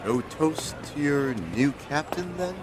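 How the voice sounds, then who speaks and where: An actor playing a sly, grasping innkeeper in an audio drama, falsely friendly and insinuating with drawn-out courtesy. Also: male voice, on the high side, noisy background, natural voice. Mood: wheedling.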